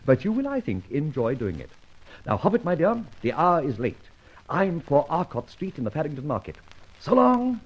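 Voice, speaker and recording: An actor playing a suave, self-assured gentleman detective with a dry, teasing manner in an old radio drama. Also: fairly high pitch, wildly swooping pitch, normal speed, breathy edge, exaggerated voice. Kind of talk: 1940s radio acting. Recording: muffled old radio recording.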